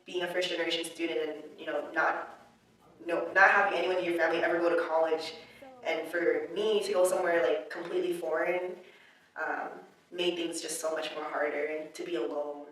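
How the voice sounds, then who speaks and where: A woman's voice: low voice, flat delivery, a little fast, a bit bright. A young adult woman, a college student whose first language is Chinese, answering interview questions in a reflective, casual way with many "like" fillers. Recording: echoey room, mic far off.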